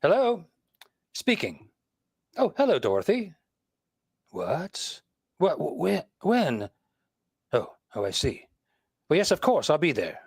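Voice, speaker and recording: A woman plays a dry, teasing husband in an old-time radio mystery. The voice is low and swings freely in pitch, easygoing and flippant. It sounds close and dry. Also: wildly swooping pitch, slow pace, long pauses, darkish tone, slightly rough, no room sound, full character voice. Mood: taken aback. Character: Nick, flippant, easygoing married man, reluctant detective. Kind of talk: vintage radio drama acting.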